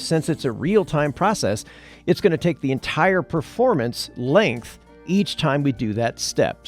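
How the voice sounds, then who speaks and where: A man's voice: medium pitch, darkish tone. A man presenting an audio production tutorial, explaining things step by step. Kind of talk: tutorial narration.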